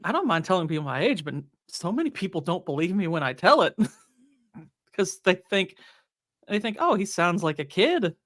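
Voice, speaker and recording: Man, forties, high youthful voice, playful, laughing, casual stream chat. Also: very animated, normal speed, a bit bright. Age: 40s. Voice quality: high voice that sounds young. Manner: playful, laughs often, quotes movie lines. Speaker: guest streamer.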